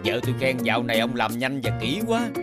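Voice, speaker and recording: A man voicing a polite, pleading village customer in a children's animated fairy tale. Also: mid-range voice, brisk, lightly acted. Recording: music under the voice. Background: music.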